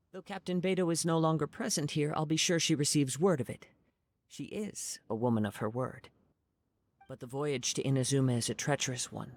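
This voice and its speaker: A man playing a serene, courteous young wandering samurai in an anime-style game, speaking calmly in formal, measured phrases. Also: on the high side, creaky. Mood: matter-of-fact.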